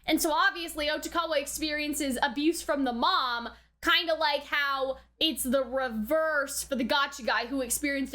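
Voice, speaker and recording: A woman's voice: sits high, bright, forceful tone. A woman hosting a casual, chatty commentary and recap, often checking in with "right?". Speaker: host of an anime reaction and review channel.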